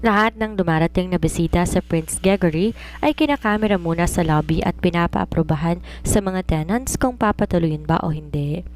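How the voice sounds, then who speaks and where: Woman, story narrator, scripted romance reading, lowish voice. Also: animated, brisk, a little mellow.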